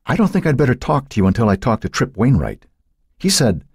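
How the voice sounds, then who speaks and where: A man's voice: medium pitch, sing-song, fairly quick, a little mellow, husky, no room sound, studio quality, pitched above the performer's own voice, full character voice. A man voicing an anguished, unstable woman in an audiobook, fearful, pleading and distraught. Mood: nervous, guarded. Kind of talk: audiobook character voice.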